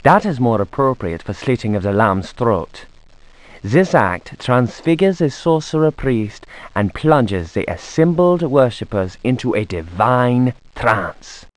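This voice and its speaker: A man's voice: mid-range voice, sing-song, a little slow, dry recording. A learned occult scholar reads a grim treatise for a video game. His delivery is formal and academic, with strongly varied intonation. The recording is dry and close. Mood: grave, scholarly. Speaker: narrator of an in-game book. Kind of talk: scripted dramatic reading.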